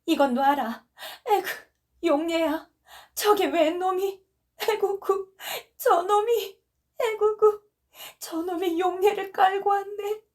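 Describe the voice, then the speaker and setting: A woman's voice: very high-pitched, takes it slowly, a little mellow, voice pushed up. A woman voicing a grief-crazed mother who wails and sobs, calling for her lost daughter. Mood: desperate wailing. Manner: wailing, shrieking, sobbing. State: crying.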